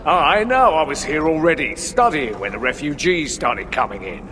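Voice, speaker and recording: A man's voice: on the high side, expressive, moderate pace, a bit bright. A man playing a hearty, dreamy dwarf blacksmith scholar in a video game, savouring his words theatrically. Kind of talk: video game cutscene acting. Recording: long cavern reverb, noisy ambience.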